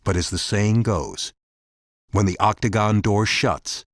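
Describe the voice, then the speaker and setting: Low-voiced man narrating a dramatic sports promo. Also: dry recording, studio quality. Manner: solemn, weighty, deliberate phrasing.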